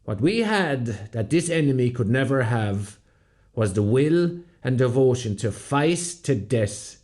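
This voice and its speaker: An actor playing a resolute rebel commander, giving grave, measured narration for a radio play. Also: male voice, medium pitch, darkish tone. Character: resolute, solemn Irish rebel commander recounting battle. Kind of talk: solemn scripted first-person narration.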